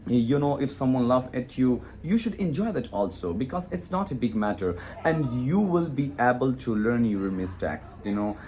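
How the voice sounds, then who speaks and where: A non-native English speaker teaches on his own channel, his voice pitched in the middle range for a man. He talks in an earnest, motivating way, circling back to the same points and leaning on "you know" as he goes. Faint background noise sits under his voice. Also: expressive, moderate pace, hardly any pauses, a little mellow. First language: not English. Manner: earnest, encouraging, repetitive, with constant "you know". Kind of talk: motivational talk to camera.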